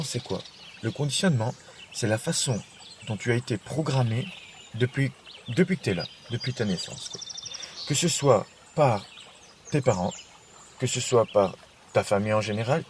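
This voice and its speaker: A man of ordinary pitch, talking informally and directly to the listener in a self-help monologue. He circles back and repeats his phrases as he explains. Faint birds and outdoor sounds sit quietly behind him. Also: a little hiss or hum. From Belgium. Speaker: podcast host.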